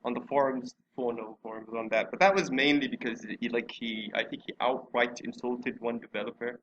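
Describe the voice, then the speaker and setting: A man's voice: mid-range voice, brisk, fairly bright tone, highs cut off, a little hiss or hum. A man hosting a casual podcast discussion, hesitant and often repeating words as he talks. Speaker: podcast host.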